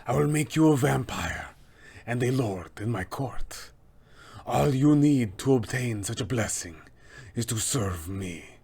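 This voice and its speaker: A man's voice: medium pitch, animated, measured pace, darkish tone, exaggerated voice. A man voicing an arrogant, menacing vampire lord with formal, theatrical villain speech for a tabletop game. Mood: coldly tempting. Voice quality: husky, gravelly, sometimes whispered.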